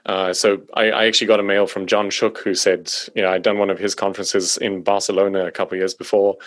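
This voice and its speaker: Deep-voiced man from South Africa. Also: fast talker, dry recording. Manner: relaxed, chatty, many fillers and restarts. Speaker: podcast guest. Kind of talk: casual conversation. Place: home in Stockholm.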